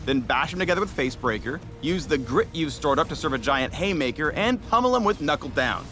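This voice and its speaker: Lively upbeat male game narrator. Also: on the high side, fairly quick, soft background music. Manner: upbeat, playful announcer delivery. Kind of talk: scripted promotional narration.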